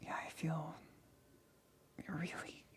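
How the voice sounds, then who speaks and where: A woman's voice: takes it slowly, close to an everyday voice. A woman playing a shaken, awkward but reassuring lab scientist in an ASMR roleplay, whispering breathily with a hesitant stammer. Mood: dazed, woozy.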